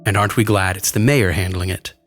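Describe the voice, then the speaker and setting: A man with a low voice narrates a story in the first person. His delivery is scripted and dramatic, and music plays clearly behind him. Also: fairly quick, fairly bright tone.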